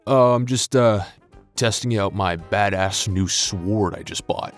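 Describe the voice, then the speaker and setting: A man's voice: on the low side, measured pace, soft background music. A man chatting casually and jokingly to his listeners as an audio creator, hesitant and self-deprecating. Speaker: audio roleplay creator and voice actor.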